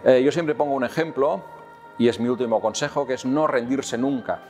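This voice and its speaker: A man in his fifties with a Catalan accent, a children's author calmly answering questions to camera, pausing often on "eh". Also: mid-range voice, faint music behind. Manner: calm, measured, with many "eh" fillers. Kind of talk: recorded talk to camera for children. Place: indoor room with tall wooden windows.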